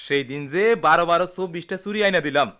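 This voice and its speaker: An actor playing a fretful, scolding village friend in a radio drama, with a rural Bangladeshi Bengali accent. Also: male voice, a little high, fast talker, pushed and bright, smooth. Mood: dismayed.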